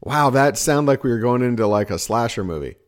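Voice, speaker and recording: A man's voice: medium pitch, animated, brisk. A man co-hosting a casual sports podcast, teasing and asking lots of questions. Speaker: podcast co-host.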